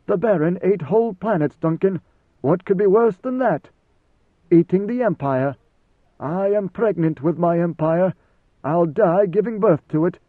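A man reading an audiobook, voicing an ancient, weary god-emperor who speaks slowly and solemnly. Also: sits high, no room sound, voice pushed up. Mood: grave. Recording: thin phone-like dry sound.

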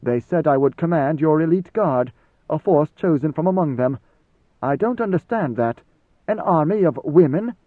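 A man's voice: a little high, animated, normal speed, pitched above the performer's own voice. A man voicing a proud male warrior who is blunt, wary and full of challenging questions, in an audiobook reading. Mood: wary, puzzled. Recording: slightly roomy, phone-like narrow sound.

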